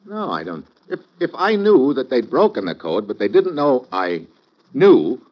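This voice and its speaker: An American actor playing a tough, wisecracking government agent in clipped, wry 1950s radio drama style. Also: male voice, mid-range voice, huge pitch swings, a little slow. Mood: questioning, wry. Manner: clipped, hard-boiled, wry. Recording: dull vintage radio recording, faint music.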